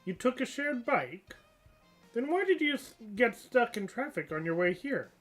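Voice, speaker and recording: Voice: mid-range voice, very animated, moderate pace, a bit bright, soft background music, voice pushed up. A stuffed-up channel host reading the part of a pushy young female detective in a game story, pressing a suspect with accusing questions. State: stuffed-up nose.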